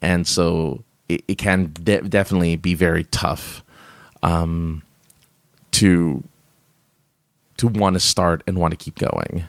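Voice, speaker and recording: A man's voice: low voice, fairly even intonation, slow, pauses often, no echo. A man hosting a live stream, chatting casually and reading viewer comments, rambling with many fillers and restarts and laughing often.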